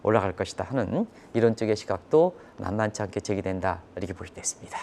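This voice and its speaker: Animated, emphatic male economic commentator, mellow voice. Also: on the low side, fast talker, slight background noise. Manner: emphatic, explanatory, poses rhetorical questions. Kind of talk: live broadcast economic commentary.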